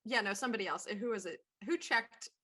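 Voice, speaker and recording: Bright, forceful woman chatting. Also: mid-range voice, fairly quick, slightly rough. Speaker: voice actor discussing own show. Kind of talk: casual chat.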